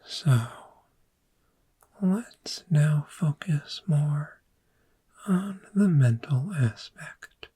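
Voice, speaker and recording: A woman voicing a calm, patient dragon therapist in a gentle, breathy, soft-spoken ASMR roleplay, with measured, reassuring instruction. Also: low-pitched, exaggerated voice. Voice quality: breathy, whispery.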